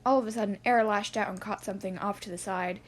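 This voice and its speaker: A woman's voice: mid-range voice, steady tone, fast, soft background music. A woman reading scripted fan fiction as the narrator of an audio story. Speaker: podfic narrator.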